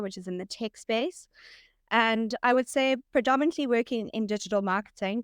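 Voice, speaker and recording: A woman's voice: medium pitch, clear, resonant tone, no echo, clean studio sound. A woman with a South African accent, speaking as a friendly, upbeat guest in a podcast interview. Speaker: guest, head of marketing. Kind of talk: casual interview chat.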